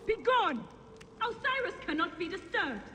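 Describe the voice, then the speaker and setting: A woman's voice: very high-pitched, big pitch swings, a little slow, fairly bright tone, exaggerated voice. A woman playing a fierce, imperious sorceress in a video game, with a commanding, theatrical, threatening delivery. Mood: imperious warning. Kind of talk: video game cutscene acting. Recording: echoing cavernous hall with background noise.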